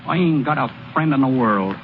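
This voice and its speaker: A man playing a naive, folksy American baseball pitcher who stammers in ungrammatical speech, in old-time radio drama. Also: expressive, brisk, narrow, tinny audio, natural voice. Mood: distraught. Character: naive, boastful-turned-dejected hayseed male baseball pitcher. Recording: thin, noisy vintage radio broadcast recording.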